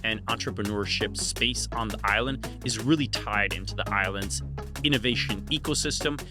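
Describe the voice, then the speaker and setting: A middle-aged man co-hosting a podcast, talking in a relaxed, earnest way with many fillers. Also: on the low side, moderate pace, music in the background. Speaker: podcast co-host. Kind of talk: casual podcast discussion.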